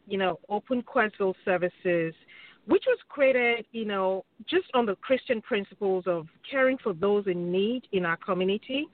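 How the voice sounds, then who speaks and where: A woman's voice: mid-range voice, animated, normal speed, dry recording. Earnest woman over a phone line. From Nigeria. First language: Yoruba. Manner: earnest, careful, with many ums. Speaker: guest, social worker. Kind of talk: interview answers.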